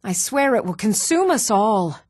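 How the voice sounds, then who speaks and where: An actress playing an even-toned townswoman and shopkeeper in a fantasy video game, speaking in measured, clearly enunciated, theatrical phrases. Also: mid-range voice, dry recording, studio quality. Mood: troubled, weary. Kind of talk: scripted video game voice acting.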